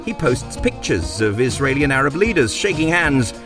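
English man hosting with lively pitch. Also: moderate pace, over music. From England. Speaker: podcast host. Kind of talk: scripted narration and interview.

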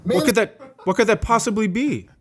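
A man's voice: high voice, lively intonation, a little fast. A man co-hosting a comedy podcast in casual banter, with an incredulous, joking manner.

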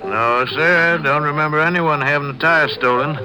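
An actor playing a folksy, unhurried roadside cafe owner in a 1950s western radio drama. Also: male voice, a little high, natural voice, poor audio. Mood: amiable, puzzled. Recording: old radio recording with music.

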